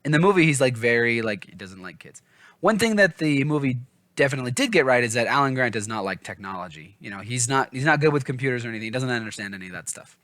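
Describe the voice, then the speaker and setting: A man's voice: mid-range voice, animated, quick pace, a bit bright, dry recording. A man talking casually as a book vlogger, informal and self-deprecating, often wandering off on side thoughts. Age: adult, father of small children. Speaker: book reviewer and vlog host. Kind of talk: casual, rambling book discussion.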